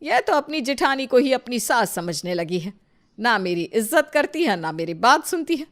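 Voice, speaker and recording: A woman voicing a jealous, scheming older mother-in-law in an animated moral story, scolding and grumbling forcefully. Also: a little high, moderate pace, voice pushed up. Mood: bitter grumbling.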